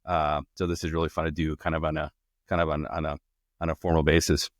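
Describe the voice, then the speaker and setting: A man's voice: extremely low pitch, fast, no room sound, studio quality. A middle-aged man from Colombia, a podcast guest, talking casually about his son's soccer and often restarting his sentences. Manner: relaxed, rambling with frequent restarts. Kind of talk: casual conversation.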